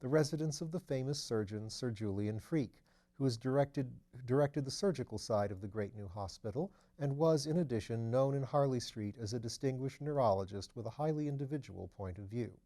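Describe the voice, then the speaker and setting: A man narrates an audiobook in a soft-edged, mellow voice of medium pitch. His phrasing is measured and careful, suited to literary prose. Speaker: audiobook reader.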